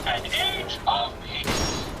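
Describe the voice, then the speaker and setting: Grandiose robot overlord, slow distorted propaganda speech, game villain, loud music over it. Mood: grandiose, commanding. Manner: grandiose, deliberate. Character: grandiose masked robot-master proclaiming peace. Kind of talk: theatrical propaganda broadcast in a video game. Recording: distant broadcast under loud music, gunfire.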